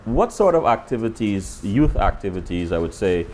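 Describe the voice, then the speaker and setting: An older man hosts the interview, his voice rising and falling with lively intonation as he stumbles and repeats words in his questions. Faint background noise sits under him. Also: on the low side, moderate pace. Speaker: interview host.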